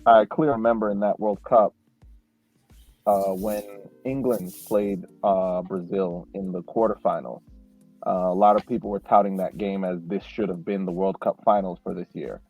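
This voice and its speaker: A male podcast co-host telling stories in a relaxed, reflective way, with many fillers and restarts. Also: low voice, moderate pace, husky, dry recording, soft background music. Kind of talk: casual podcast chat. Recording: tinny, phone-like dry sound.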